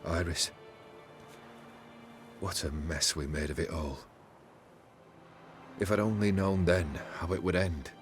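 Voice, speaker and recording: An actor playing a proud, wistful cursed immortal nobleman in a video game, with theatrical, measured delivery over music. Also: deep voice. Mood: rueful.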